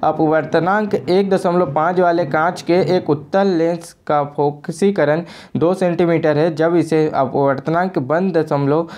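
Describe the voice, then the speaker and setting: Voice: medium pitch, fairly even intonation, unhurried, darkish tone. An exam coaching teacher with an Indian accent, reading out questions and answers in a repetitive, formulaic lesson delivery.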